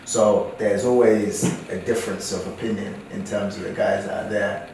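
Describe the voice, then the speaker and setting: A man in his thirties speaks in a very deep voice, working through his thoughts with hesitant pauses and fillers. He is heard from a distance in a strongly echoing room. Faint background noise sits under him. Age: 30s. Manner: thoughtful, hesitant "um"s, steady affirmations. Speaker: football coach, podcast co-host. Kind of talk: casual group discussion.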